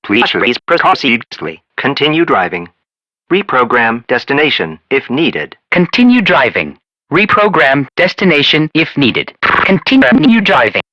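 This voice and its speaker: A man voicing a glitchy robotic car navigation system in a video game, with clipped, halting, mechanical announcements. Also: medium pitch, sing-song, bright, forceful tone, no room sound, highs cut off, exaggerated voice. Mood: flat, commanding. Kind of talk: scripted game voice prompts.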